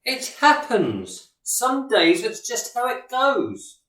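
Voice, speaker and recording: A woman plays a vain star footballer in a radio drama, stubborn and dismissive. The voice is fairly high and slow, with roomy echo around it. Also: huge pitch swings, fairly bright tone, exaggerated voice. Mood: defensive. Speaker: actor in a radio play. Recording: roomy, distant microphone.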